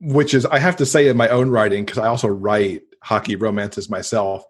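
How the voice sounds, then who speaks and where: Husky-voiced American man in an interview. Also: lowish voice, brisk. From Michigan, United States. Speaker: podcast guest, romance author. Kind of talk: casual interview chat.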